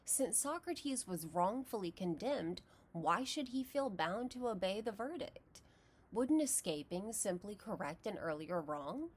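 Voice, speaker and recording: A woman with a Texas accent, narrating a scripted philosophy lesson in a measured, explanatory way. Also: medium pitch, animated, breathy edge. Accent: Texan. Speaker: philosophy lecturer.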